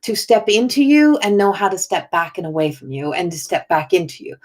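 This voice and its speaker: A woman's voice: fairly low pitch, very animated, a little fast. A woman talks through a casual livestream, her voice strained and worn. She rambles in a chatty way, greeting viewers by name as she reads oracle cards. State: strained, failing voice. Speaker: oracle card reader and spiritual healer.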